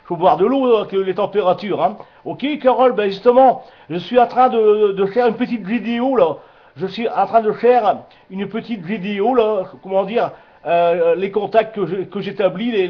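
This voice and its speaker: Man, high voice, casual radio operator, chatty, repetitive, jargon-laden, muffled lo-fi radio sound. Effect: radio transmission. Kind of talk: casual radio contact chat.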